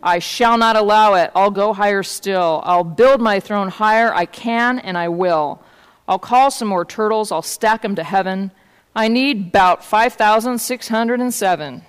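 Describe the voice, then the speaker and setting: A woman's voice: mid-range voice, a little slow, clear, resonant tone, close to an everyday voice. A woman voicing a pompous, boastful male turtle king in a storybook, imperious and bellowing. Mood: indignant, boastful. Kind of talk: storybook read-aloud. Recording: hall-like reverb.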